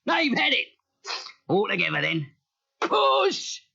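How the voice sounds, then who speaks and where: Raspy, growling cartoon gang boss. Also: male voice, sits high, wildly swooping pitch, slow pace, pushed and bright, exaggerated voice. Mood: bossy. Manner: rough ungrammatical speech. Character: growling, bossy, hapless male crook gang leader. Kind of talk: cartoon audio story acting. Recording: dull lo-fi cassette transfer.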